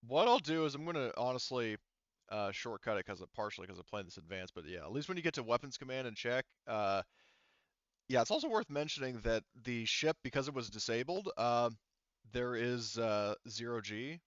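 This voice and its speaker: A man's voice: medium pitch, lively intonation, fairly quick, a bit bright, no room sound. A man working as a game master, narrating a tabletop game off the cuff in a casual, rambling way over a voice call. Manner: rambling, casual, frequent hedging. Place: online voice call. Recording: dull lo-fi voice call.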